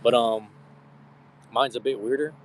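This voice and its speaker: A man's voice: mid-range voice, fairly even intonation, measured pace, slight background noise. A man in his thirties chatting casually with his viewers in a slangy, profane, rambling way. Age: 30s. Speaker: streamer. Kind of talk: casual live-stream chat with viewers.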